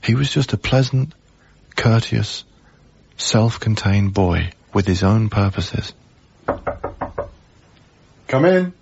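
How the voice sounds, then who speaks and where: A dull radio broadcast recording holds a young British man in an intimate radio play. He is a reflective, heartbroken lodger who speaks gently and with measured care. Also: on the low side, lively intonation, creaky, breathy edge, exaggerated voice. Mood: thoughtful, wounded. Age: young adult. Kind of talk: BBC radio drama acting, intimate first-person narration.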